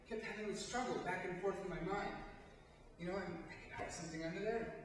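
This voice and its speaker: A man's voice: sits high, quick pace, voice pushed up, natural voice. A man playing a tormented murderer who broods and repeats himself in a dramatic amateur stage monologue. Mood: brooding, remembering. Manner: brooding, agitated, repetitive. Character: haunted, tormented male murderer confessing his night walk. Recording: echoey hall, far off, noisy.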